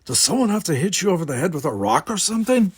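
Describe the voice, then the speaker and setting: A man's voice: sits high, sing-song, fast talker, breathy edge, dry recording, pitched above the performer's own voice, heavily acted. Man playing an earnest, exasperated young brother. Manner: informal, urgent, pleading.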